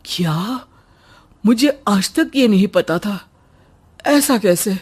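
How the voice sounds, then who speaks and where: A woman's voice: medium pitch, animated, slow, darkish tone, slightly rough. A woman voicing a curious, stubborn young village boy in a cartoon story, full of exclamations and questions. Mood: curious, surprised.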